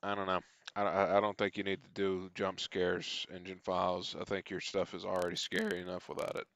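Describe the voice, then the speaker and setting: A man with a low voice and a dry, close sound hosts a reaction video. He talks off the cuff, blunt and casual, swearing freely. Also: monotone, fairly quick, a bit bright, no echo.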